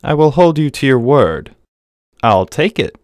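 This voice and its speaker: A man's voice: medium pitch, sing-song, a little slow, a little mellow, dry recording, studio quality. A man playing a curt, aloof rich male customer in a visual novel, terse and cool.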